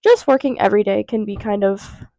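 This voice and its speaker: A woman's voice: mid-range voice, a little fast, warm tone, no echo. Stuffy-nosed young woman rambling casually. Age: 20s. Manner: rambling, lots of fillers and tangents. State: congested with a cold. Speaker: vlogger. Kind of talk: casual chat to camera. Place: vape shop counter.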